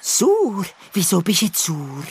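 A woman voicing a cheerful, excitable little male bird in a children's audio play, speaking with a Swiss German accent and giggling often. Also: medium pitch, measured pace, breathy edge, exaggerated voice.

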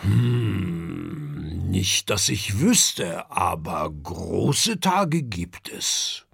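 A man voicing a calm, gentle dragon father who talks slowly and ponders out loud. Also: vocal fry, husky, no room sound, studio quality, heavily acted. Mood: musing. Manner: slow, deep, musing.

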